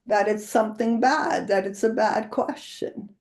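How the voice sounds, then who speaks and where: A woman's voice: medium pitch, fairly even intonation, a little slow, darkish tone. A woman interviewing an author in a live chat, talking in a conversational, questioning way.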